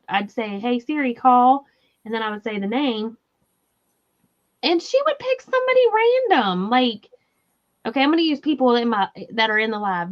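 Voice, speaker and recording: Southern woman host, high-pitched, chatty and giggly, slightly dull lo-fi sound. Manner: chatty, laughing, reads viewer comments aloud. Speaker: crochet livestream host. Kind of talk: casual livestream chat.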